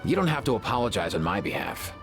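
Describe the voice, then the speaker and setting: A man's voice: fairly low pitch, sing-song, quick pace, creaky, over loud music. A man voicing a casual, wry young friend who talks slangily in a video game. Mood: exasperated.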